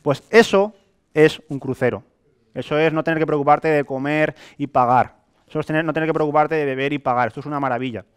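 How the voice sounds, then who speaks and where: Higher-voiced young Spanish male presenter. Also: quick pace, clear, resonant tone. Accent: Spain Spanish. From Spain. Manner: animated, colloquial, rhetorical questions and acted-out examples. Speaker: sales presenter. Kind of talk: motivational sales presentation to a live audience. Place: hotel meeting room with a small audience, indoors.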